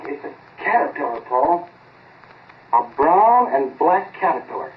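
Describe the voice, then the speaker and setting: An actor playing an excitable, halting American amateur inventor in a 1940s radio drama, plain-spoken and easily amazed. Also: male voice, a little high, a little hiss or hum. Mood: amazed, excited. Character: excitable male amateur time-machine experimenter, halting and folksy. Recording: narrow-band vintage 1940s radio recording.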